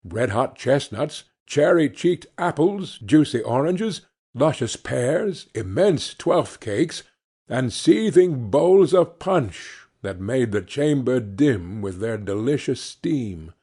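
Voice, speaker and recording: A man narrating a classic novel as an audiobook in a measured, expressive storytelling manner. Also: mid-range voice, slightly rough. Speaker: audiobook reader.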